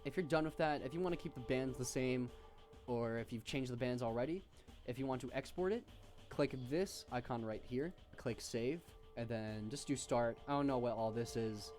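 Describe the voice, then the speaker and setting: A man giving an informal, offhand software tutorial with slangy asides. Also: medium pitch, moderate pace, soft background music. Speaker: tutorial host. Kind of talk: casual software tutorial narration.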